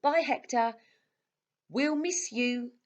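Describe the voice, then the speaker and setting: A woman voicing a lively young girl in a children's storybook, expressive and exclaiming. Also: a little high, slow pace, muffled recording, pitched above the performer's own voice. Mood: excited.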